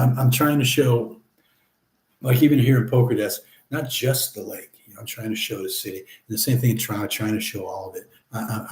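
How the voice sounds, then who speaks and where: Relaxed middle-aged man, echoey room. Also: medium pitch. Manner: relaxed, chatty, reads viewer comments aloud and replies. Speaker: livestream host answering viewer chat. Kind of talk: casual live chat.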